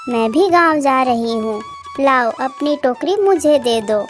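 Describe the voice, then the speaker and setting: A woman's voice: high-pitched, animated, measured pace, faint music behind. A woman playing a poor, humble young village wife in a cartoon moral story, earnest and polite. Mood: helpful.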